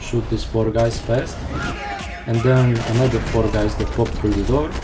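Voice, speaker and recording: A man's voice: medium pitch, little pitch movement, normal speed, background noise. Man explaining his speedrun. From Croatia. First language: Croatian. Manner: explaining step by step, casual, self-deprecating. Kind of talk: live gameplay commentary. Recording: game sounds and gunfire behind.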